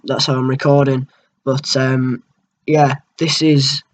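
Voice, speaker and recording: A young man with a cold and a tickly throat, talking through a software tutorial at his computer in a rambling, hesitant way with lots of ums. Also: mid-range voice. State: has a cold, keeps clearing his throat. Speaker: gaming channel host. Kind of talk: casual screen-recorded software tutorial.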